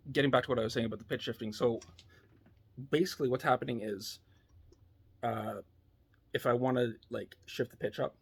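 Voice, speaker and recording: A man giving a casual, unscripted technical demo in a laid-back, hesitant way, thinking aloud, with a husky, slightly gravelly voice. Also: mid-range voice. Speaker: electronics builder demonstrating a device.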